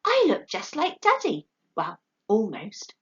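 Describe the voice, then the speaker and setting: A tiny, eager baby owl squeaks and pipes, as a woman reading a storybook puts him on. The voice leaps far above her own and swings wildly up and down. The recording is a little dull and lo-fi. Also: huge pitch swings, slow, fairly bright tone, slightly rough, heavily acted. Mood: excited. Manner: eager, high and piping. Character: excitable little male barn owl chick.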